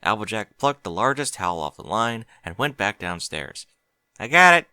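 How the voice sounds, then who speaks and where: A man reading scripted fiction as an audiobook narrator, measured and expressive. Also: fairly low pitch, clear, resonant tone, dry recording.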